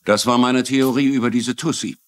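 An actor playing an older retired police lieutenant in a German-dubbed TV crime drama, confiding and wry, with a troubled conscience. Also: male voice, mid-range voice, steady tone, normal speed, natural voice. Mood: frank, wry.

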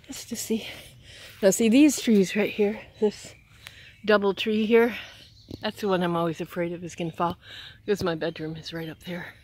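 A woman's voice: mid-range voice, level tone, normal speed, a little mellow. Woman chatting over noisy outdoor recording. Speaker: vlogger. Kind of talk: casual walking vlog chat. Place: outdoors on a wooded gravel driveway and yard. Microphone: handheld phone or camera. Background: clear outdoor ambient noise.

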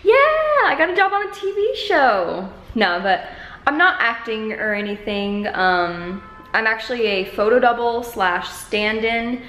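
A woman's voice: a little high, very animated, measured pace, fairly bright tone, soft background music. A woman in her twenties chatting casually and informally to camera as a vlogger, holding it at arm's length indoors. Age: 20s. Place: medium-sized living room indoors. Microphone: camera held at arm's length. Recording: echoey bare room.

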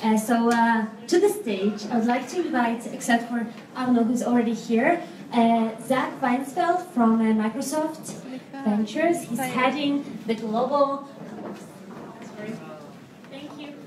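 A woman host introducing panel speakers on stage with upbeat announcements and filler pauses. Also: on the high side, background noise. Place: event hall. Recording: echoey hall, voice from far off.

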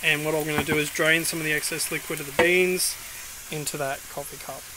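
A man's voice: fairly high pitch, little pitch movement, a little fast, very noisy. Chatty Australian man in a van. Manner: chatty, many ums and restarts. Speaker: vlogger. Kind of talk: casual cooking walkthrough. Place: inside a small van kitchen.

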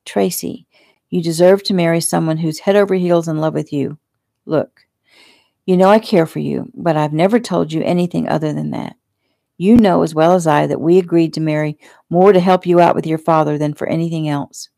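A woman narrator voicing a weary, earnest young man in an audiobook, halting and placating in manner. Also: low voice, steady tone, vocal fry, warm tone, no room sound.

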